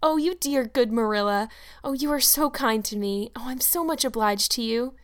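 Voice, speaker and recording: Lady doing a high, breathless little orphan girl, gushy and pleading, storybook reading. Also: moderate pace, close to an everyday voice. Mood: gushing. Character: eager chattering orphan girl, about eleven.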